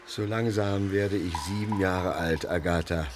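A weary, bewildered father in a surreal radio play. His deep voice moves slowly and haltingly, with clear noise behind it. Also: steady tone. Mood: dreamy. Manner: halting, stammering. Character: bewildered, weary, whimsical father of grown daughter. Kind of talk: surreal radio drama acting.

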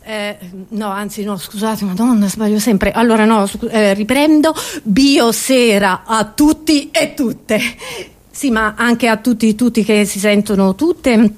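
A woman comedian with a fairly high voice delivers a theatrical solo monologue. She addresses the audience directly in a scatterbrained, exclamatory way, halting and repeating herself as she goes. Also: fairly even intonation.

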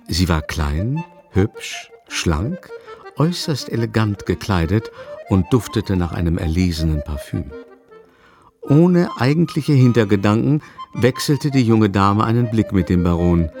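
Male narrator for literary radio drama, low measured delivery, faint music behind. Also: a little mellow.

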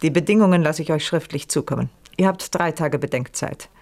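Low-voiced, imperious noblewoman. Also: brisk, a little mellow. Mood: commanding. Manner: haughty, condescending, commanding. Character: imperious, scheming wealthy French noblewoman, a widow. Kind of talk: German radio play acting.

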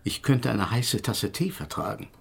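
An actor playing a self-important invisible gentleman scientist in a radio play, polite and urbane in manner. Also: mid-range voice, lively intonation, normal speed, gravelly, slightly rough. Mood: genteel, self-satisfied. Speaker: physics professor. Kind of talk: German radio play acting.

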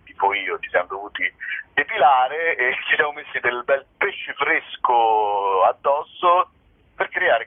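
A man's voice: medium pitch, dry recording. Man on a narrow phone line, rambling. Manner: hesitant, rambling, self-correcting, jokey. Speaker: comedy performer and show presenter, interview guest. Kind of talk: spontaneous interview chat.

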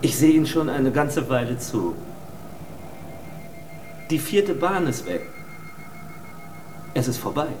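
An actor playing a calm, coolly analytical man in a radio drama, speaking in a formal, deliberate, lecturing way. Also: mid-range voice, lightly acted. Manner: deliberate, didactic, formal address. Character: calm, insistent male observer, coolly analytical. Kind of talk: German radio drama acting. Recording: echoey, distant, with noisy ambience.

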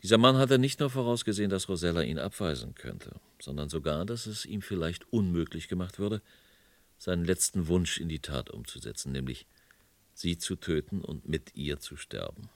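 An actor playing a remorseful police inspector, narrating his case gravely and deliberately in a 1970s radio crime drama. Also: sits low, expressive, no room sound.

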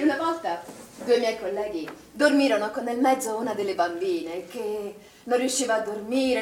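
An actress playing an anguished, doubt-ridden middle-aged woman in charge, speaking in an agitated, halting stage-drama style and repeating her phrases. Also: a little high, lightly acted. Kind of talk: stage drama acting, emotional monologue. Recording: roomy, distant theatre recording, faint noise.

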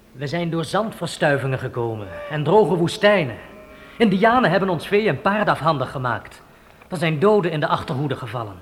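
An actor playing a weary, downcast pioneer settler in a radio play, halting and full of doubt. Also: male voice, on the high side, fairly bright tone. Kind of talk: Dutch radio play acting. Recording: noisy old radio play recording.

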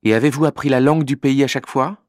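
A man's voice: mid-range voice, quick pace, darkish tone, no echo, studio quality. A man, a journalism student, asking interview questions in a polite, formal way.

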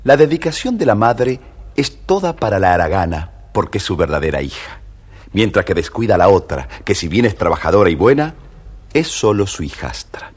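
A man's voice: on the low side, sing-song, moderate pace, breathy edge. A man narrating a scripted children's fairy tale as storyteller, theatrical, firm and explanatory.

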